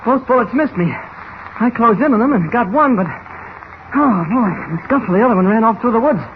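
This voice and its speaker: An actor playing a mild, amiable, evasive newspaper reporter with a hesitant, innocent manner in 1940s radio style. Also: male voice, very high-pitched, animated, normal speed. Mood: breathless, modest. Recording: noisy, muffled old radio recording.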